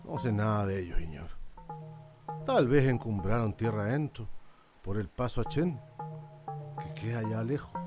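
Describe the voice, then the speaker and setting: A man playing a humble old islander, the last of his people, in a radio drama. His voice is low and weary, with a rustic southern Chilean accent and a deferential, halting way of telling things. Music plays clearly behind him. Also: lightly acted. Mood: pensive.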